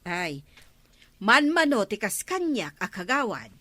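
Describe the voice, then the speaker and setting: An actress with an Ilocano accent playing a bickering village councilor in a radio comedy drama. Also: female voice, mid-range voice, sing-song, measured pace, pushed and bright, no echo, heavily acted. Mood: defensive.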